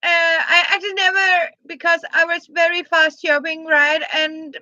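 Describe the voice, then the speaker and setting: A woman's voice: extremely high pitch, little pitch movement, moderate pace, bright, forceful tone, no echo, muffled recording. A Danish woman from Jutland, hosting a live stream in English as a second language, chatting casually with viewers, reading their comments aloud and often restarting her words. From Jutland, Denmark.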